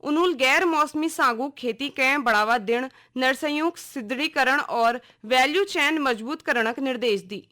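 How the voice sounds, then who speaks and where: Kumaoni lady reading radio news, pretty high bright voice, formal announcer style, scripted. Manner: formal, measured announcer delivery.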